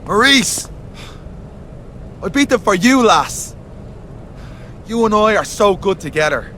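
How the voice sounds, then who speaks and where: A woman's voice: medium pitch, animated, slow, fairly bright tone, slight background noise. A woman playing a clingy, pleading female wrestler in a video game cutscene.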